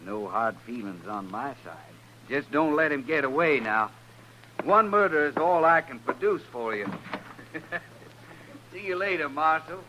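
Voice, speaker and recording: An actor playing a brash, self-satisfied cowboy in a radio western, folksy and cocksure, with a rural American Western accent. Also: male voice, fairly high pitch, expressive, a little slow, long pauses. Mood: smug. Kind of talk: 1950s radio western acting. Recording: noisy, humming old radio recording.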